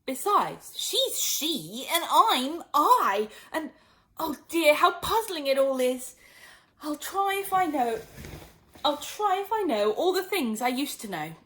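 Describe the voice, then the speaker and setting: A woman's voice: sits high, very animated, takes it slowly, a bit bright, pitched above the performer's own voice, heavily acted. A woman voicing an earnest, muddled young girl who frets and scolds herself in a storybook reading. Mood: puzzled, anxious.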